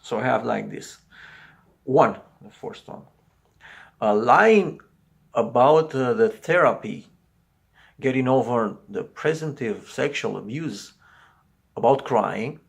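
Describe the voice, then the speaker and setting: Slow, gravelly Romanian-accented man. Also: medium pitch, expressive. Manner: halting, searching for words. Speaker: video commentator. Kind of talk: solo video commentary.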